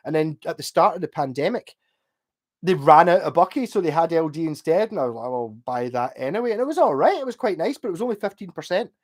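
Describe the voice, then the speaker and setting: A man's voice: on the high side, animated, fast. Glaswegian male streamer, loose rambling chat, casual swearing, answers viewer comments. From Glasgow, Scotland. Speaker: livestream host.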